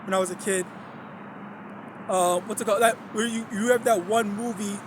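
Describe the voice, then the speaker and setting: A man's voice: high-pitched, fairly even intonation, moderate pace, background noise. A young man speaking in a casual monologue. He rambles, restarts, and keeps asking "okay?". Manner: rambling, repeats words, many fillers and "okay?" checks. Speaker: religious vlogger.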